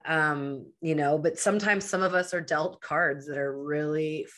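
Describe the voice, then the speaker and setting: A woman in her thirties with a slightly low voice. She hosts a podcast and interviews in a casual, effusive way, building her points in short, informal phrases. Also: moderate pace, fairly bright tone. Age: 30s. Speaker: podcast host.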